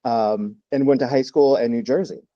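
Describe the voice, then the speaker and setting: A man in his fifties from Texas talks with a mellow, dark tone, sounding a little dull and lo-fi over a dry line. He tells his story in a relaxed, reflective way with plenty of fillers. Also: mid-range voice, moderate pace, no room sound. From Texas, United States. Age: 50s. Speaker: guest. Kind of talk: interview storytelling.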